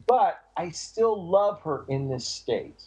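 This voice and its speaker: A man with a big booming voice, a dating coach giving emphatic, animated advice on a call. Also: takes it slowly, slightly rough. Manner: animated, emphatic, repeats phrases. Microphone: call audio.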